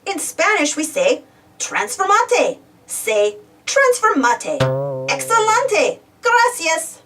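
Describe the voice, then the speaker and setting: Woman, high-pitched, lively swooping intonation, expressive read-aloud for kids, questioning and exclamatory, distant mic. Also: slow, soft background music. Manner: animated, exclamatory, addresses young listeners with questions. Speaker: storybook reader.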